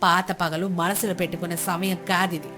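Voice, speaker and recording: A woman's voice: lowish voice, flat delivery, normal speed, a bit bright, pitched below the performer's own voice, close to an everyday voice. A woman voicing a lonely, kind-hearted elderly village neighbour in a moral story, with a homely, reassuring way of talking. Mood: pitying. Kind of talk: moral storybook character dialogue. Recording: music bed under the voice.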